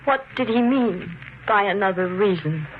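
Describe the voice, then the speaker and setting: An actress playing an anxious, devoted young wife, hesitant and questioning, in a 1950s radio drama. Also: female voice, medium pitch, expressive, normal speed, close to an everyday voice. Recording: muffled, noisy vintage radio broadcast.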